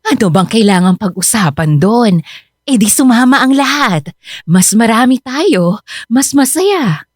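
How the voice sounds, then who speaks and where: A woman's voice: on the high side, very animated, unhurried, no echo, studio quality. An actress playing a middle-aged Filipino mother in a radio drama, chatty, insistent and coaxing in homely everyday speech. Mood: breezy.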